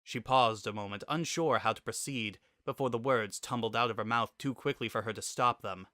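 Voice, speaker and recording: A man narrating a scripted story in a dramatic, expressive and deliberate way. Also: mid-range voice, no room sound, clean studio sound.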